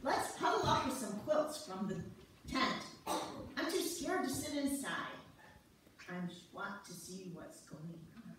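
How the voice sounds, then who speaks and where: Slow, very expressive woman, amateur actress. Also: a little high. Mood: coaxing. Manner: expressive, deliberate. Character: chipper, motherly woman on a fishing trip. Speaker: amateur reader's theater performer. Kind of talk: staged play reading. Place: church sanctuary stage before an audience. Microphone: far audience camera. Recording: distant, echoey church hall, noisy.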